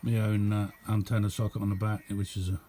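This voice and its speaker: A man's voice: fairly low pitch, flat delivery, a little fast, warm tone, a little hiss or hum. A man giving a casual, unscripted show-and-tell as a hobbyist, rambling along in an understated way. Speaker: hobbyist demonstrating a homemade radio.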